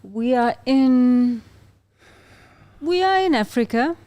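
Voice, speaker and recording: A man's voice: very high voice, expressive, takes it slowly, darkish tone, smooth. A man co-hosting a casual live stream, mostly agreeing with short affirming replies. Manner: affirming, brief replies, laughs often. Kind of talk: casual chat, backchannel agreement.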